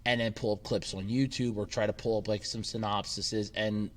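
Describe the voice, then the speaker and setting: A man with a somewhat low voice talks casually to his channel's viewers, rambling and restarting his sentences. His voice is a bit scratchy from a lingering cold. The sound carries echo from a makeshift room. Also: little pitch movement, normal speed. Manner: rambling, informal, with frequent fillers and restarts. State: slightly scratchy, recovering from a cold. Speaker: YouTube channel host. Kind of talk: casual Q&A vlog chat. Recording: echoey temporary room.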